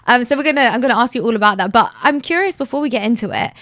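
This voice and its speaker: A woman interviews a guest on her podcast, sounding eager and upbeat. Her voice sits fairly high, and the sound is dry and close, with no room echo. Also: racing, a bit bright. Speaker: podcast host. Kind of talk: casual interview.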